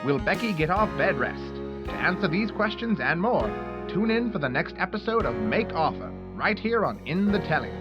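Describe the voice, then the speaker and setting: A man's voice: sits high, very animated, normal speed, muffled recording. A man announcing a radio play serial in the old-time style, teasing the next episode and reading credits. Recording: guitar music behind voice.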